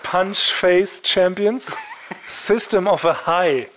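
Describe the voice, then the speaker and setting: A man with a fairly high voice hosts a casual comedy podcast. His delivery is jokey and mock-boastful, like someone bantering with his co-hosts. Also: takes it slowly, noisy background. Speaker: podcast host.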